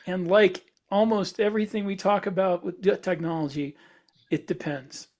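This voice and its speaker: Higher-voiced man in casual podcast talk. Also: steady tone, moderate pace, darkish tone. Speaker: podcast co-host.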